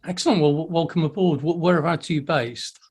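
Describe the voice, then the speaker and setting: A man's voice: fairly high pitch, quick pace, darkish tone, breathy edge. A man hosting a casual meetup over a video call, friendly and welcoming, often stumbling and restarting his words. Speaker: host of a weekly online meetup.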